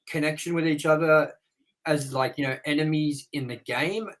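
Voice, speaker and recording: A man's voice: medium pitch, poor audio. A man in his thirties co-hosting a movie review show, chatting casually and telling rambling stories with casual swearing.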